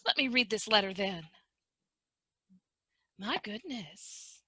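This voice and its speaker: A woman playing a warm, gushing confidante in an amateur play read over a video call. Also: mid-range voice, lively intonation, takes it slowly, husky, dry recording, clean studio sound, exaggerated voice. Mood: fond, amused.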